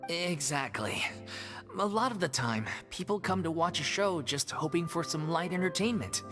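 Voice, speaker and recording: Casting: actress as earnest troupe woman in a video game, polite explainer, low register, sweeping intonation. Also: lively intonation, moderate pace, music in the background, natural voice. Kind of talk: scripted video game acting.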